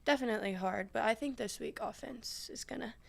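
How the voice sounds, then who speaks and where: Chatty young woman with vocal fry. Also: on the high side, brisk. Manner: chatty, many fillers like "like". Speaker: sports interviewer, host. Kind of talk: casual interview chat.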